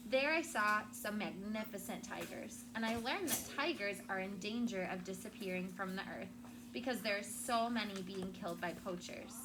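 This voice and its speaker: A woman's voice: medium pitch, background noise. A woman schoolteacher reading aloud and talking to children in a classroom, explaining in simple words and checking in with questions.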